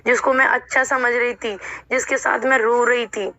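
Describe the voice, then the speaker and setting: A woman's voice: fairly high pitch, steady tone, moderate pace, sharp tone, dry recording. A woman speaking emotionally and insistently in a heated personal phone conversation, sounding aggrieved. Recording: dull, lo-fi recorded phone call.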